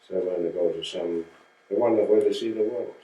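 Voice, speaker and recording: An older man from Denmark tells his story slowly and plainly, now and then stopping to correct himself. His voice is very low and mellow. The recording sounds roomy and picked up from a distance. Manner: slow, plain storytelling with restarts and self-corrections. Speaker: interviewee. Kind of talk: oral history recollection.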